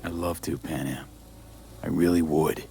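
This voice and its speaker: An actor playing a reserved, weary mercenary with a laconic, understated delivery in a video game. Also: male voice, rumbling low, steady tone, a little slow, vocal fry, mellow, soft background music, exaggerated voice. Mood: regretful. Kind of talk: cinematic video game acting.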